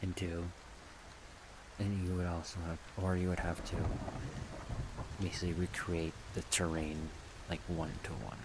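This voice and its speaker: A man with a low voice talks in a soft-spoken ASMR ramble. He goes slowly and haltingly, thinking aloud in short phrases. Steady rain-like noise runs clearly behind him. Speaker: ASMR video creator.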